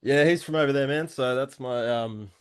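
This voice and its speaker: A man from Western Australia with an Australian accent, a podcast guest talking in a relaxed, easygoing way. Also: mid-range voice, normal speed, smooth. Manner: laid-back, laughs easily. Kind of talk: casual interview chat.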